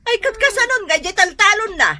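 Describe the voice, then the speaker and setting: An exasperated Ilocano mother in a radio drama. Her voice is high and very sharp, and she nags with emphatic, scolding force. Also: expressive, a little fast, heavily acted.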